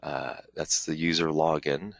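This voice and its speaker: Very deep-voiced man presenting a software tutorial. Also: steady tone, measured pace, creaky, dry recording. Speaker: webinar presenter, product trainer. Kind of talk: informal software tutorial, live demonstration walkthrough.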